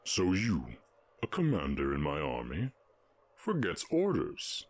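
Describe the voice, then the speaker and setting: A man voicing a stern, imposing orc warchief in a fantasy audio roleplay, speaking in deliberate, imperious proclamations. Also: low voice, huge pitch swings, dry recording, full character voice. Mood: cold menace.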